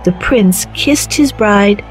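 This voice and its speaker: A woman narrating a children's fairy tale in a measured, clearly phrased storytelling manner. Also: medium pitch, animated, low-quality recording. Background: background music.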